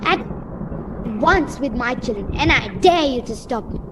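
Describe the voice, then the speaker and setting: Woman playing a high-voiced, nervous village mother. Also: expressive, a little slow, background noise. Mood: grave, defiant. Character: proper village mother, polite but nervous and defiant. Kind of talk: amateur radio play acting.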